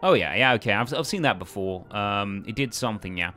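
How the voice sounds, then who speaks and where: A man with a fairly low voice commentates a game casually, thinking aloud. His intonation moves around a lot, and soft music plays underneath. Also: expressive, fairly quick. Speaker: let's play host.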